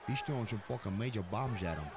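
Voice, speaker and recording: A very noisy stream carries a man reacting with disbelief and plenty of swearing. He talks quickly, and his pitch swings widely. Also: lively intonation. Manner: foul-mouthed, incredulous. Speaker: streamer roleplaying in a game. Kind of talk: casual reaction to a fight. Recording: very noisy stream, fight broadcast behind.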